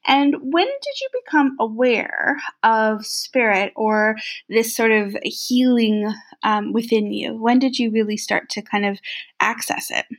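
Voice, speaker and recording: A woman's voice: on the high side, lively intonation, a little slow. A woman hosting an interview podcast, friendly and hesitant, with many ums and encouraging mm-hmms. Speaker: podcast host. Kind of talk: casual interview.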